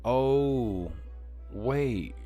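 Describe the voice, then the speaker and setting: A man's voice: medium pitch, very slow, warm tone, soft background music. A man streaming a game, casually thinking aloud in a muttering, musing way.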